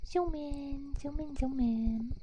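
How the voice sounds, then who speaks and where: A woman streamer chatting softly and casually to viewers in a breathy, whispery ASMR style, gentle and playful, close to the microphone. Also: high voice, slow, slight background noise, low-quality recording. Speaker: livestream host. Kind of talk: soft-spoken ASMR, casual chat with viewers. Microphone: close binaural ASMR microphone.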